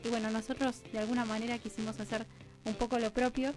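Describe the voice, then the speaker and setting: High-voiced Argentine woman over music. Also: little pitch movement, fast talker, low-quality recording. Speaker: interview guest, radio drama scriptwriter. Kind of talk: conversational explanation in an interview. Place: radio studio. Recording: music clearly playing behind voice.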